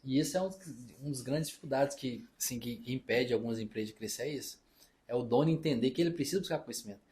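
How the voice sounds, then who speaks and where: A man's voice: medium pitch, darkish tone. A man from Brazil telling stories in a casual podcast chat, acting out the dialogue as he goes. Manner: fast-talking, storytelling, reenacts dialogue. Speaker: accountant and business partner.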